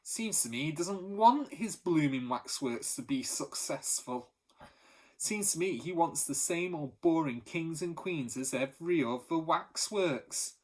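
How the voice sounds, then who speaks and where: Storybook boy, cocky and scornful, a bit husky and raised, read by a man. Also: on the high side, animated, a little slow. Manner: scornful, forceful. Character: scornful cocky young London street boy.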